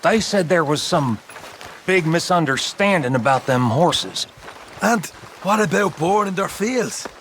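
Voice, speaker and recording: An actor playing an easygoing, trusting young American outlaw in a video game, informal and plain-spoken. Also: male voice, fairly high pitch, expressive, measured pace, background noise. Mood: earnest. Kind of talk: dramatic video game acting.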